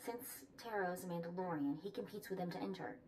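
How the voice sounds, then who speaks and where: An actress as a confident woman handler in a video game, her voice on the low side. She briefs briskly and plainly, a little far from the mic over faint noise. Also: a little mellow, close to an everyday voice. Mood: businesslike. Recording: slightly distant, faint background noise.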